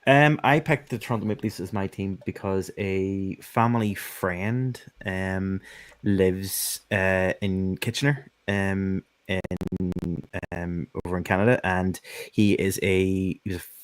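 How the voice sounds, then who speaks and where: A man co-hosting a hockey podcast, answering in a halting way with lots of ums. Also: low-pitched, fairly even intonation, no room sound. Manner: hesitant, halting with many ums. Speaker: podcast co-host. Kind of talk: casual chat.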